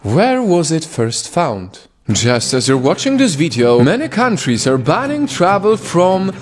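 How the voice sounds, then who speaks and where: A man's voice: fairly high pitch, huge pitch swings, unhurried. A man narrating a scripted explainer video in an emphatic way, posing rhetorical questions as he presents. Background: music.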